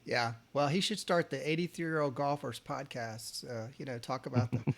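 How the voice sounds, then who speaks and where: A man's voice: mid-range voice, moderate pace, creaky, a bit bright. A man hosting a podcast, chatting in a casual, enthusiastic way and talking about his own experience. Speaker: podcast host. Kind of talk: casual interview chat.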